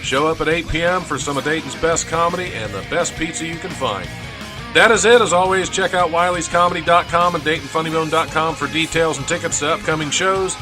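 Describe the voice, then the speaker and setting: A man's voice: a little high, fairly quick, music in the background. An American man hosting a radio show with casual, rambling, self-deprecating comedy talk. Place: studio.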